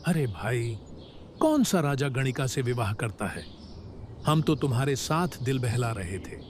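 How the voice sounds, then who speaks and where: A man's voice: medium pitch, very animated, a little slow, a little mellow, a little hiss or hum. A man voicing a callous, arrogant philandering king, the villain of a melodramatic cartoon. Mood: cold, dismissive.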